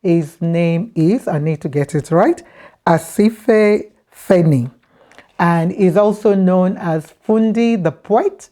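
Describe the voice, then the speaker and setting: A woman's voice: fairly low pitch, slow pace, mellow. A woman hosting a talk show in a conversational interviewing style, often repeating answers back, recorded with echoey reverb.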